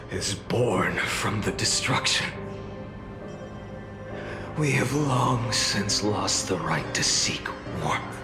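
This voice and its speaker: An actor playing a weary, burdened young warrior in a game, solemn, measured and grimly resolute. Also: male voice, deep voice. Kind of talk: dramatic game voice acting. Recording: echoing voice over loud music.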